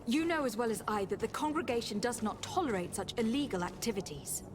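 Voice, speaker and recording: Haughty young noble lady voiced by a woman, highish voice, formal and bossy, game scene with loud background noise. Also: lively intonation, brisk, lightly acted. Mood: stern, cold. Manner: formal, imperious. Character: commanding young noblewoman, haughty, stern, indignant. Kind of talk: scripted video game acting. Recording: loud game ambience behind the voice.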